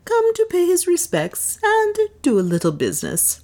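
A woman's voice: very high-pitched, wildly swooping pitch, moderate pace, darkish tone, voice pushed up, full character voice. A woman reading aloud and voicing a cool, bold Englishman who speaks with polite courtesy. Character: adult male English adventurer, cool and bold.

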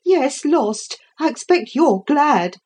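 Lady putting on a snappy nurse, high and up-and-down, kinda husky. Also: expressive, slow, darkish tone. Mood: snappish. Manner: snappish, scolding. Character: sharp, resentful female children's nurse.

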